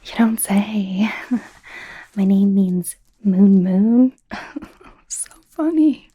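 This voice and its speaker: A woman's voice: medium pitch, lively intonation, very slow, muffled recording, heavily acted. Woman playing a whispering, awkward young werewolf doctor-in-training. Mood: awkward, sarcastic. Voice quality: breathy, soft whisper. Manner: chatty, hesitant, gently sarcastic, giggly. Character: young female werewolf doctor student, eager, awkward, wry. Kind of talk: whispered ASMR roleplay.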